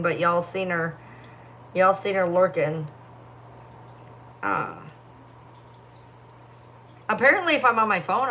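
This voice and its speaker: A woman's voice: on the low side, bright, forceful tone, a little hiss or hum. A young woman chatting casually on a phone livestream, sleepy and yawning, with a distinctive voice and lots of filler words. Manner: rambling, heavy filler words. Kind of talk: casual live-stream chat reading comments.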